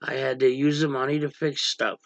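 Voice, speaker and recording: Gravelly man snapping at his livestream chat. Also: medium pitch, level tone, normal speed, dry recording, highs cut off. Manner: blunt, irritated, snaps at chatters. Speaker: livestream host. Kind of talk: casual livestream chat reading viewer comments. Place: dim room at home, power out.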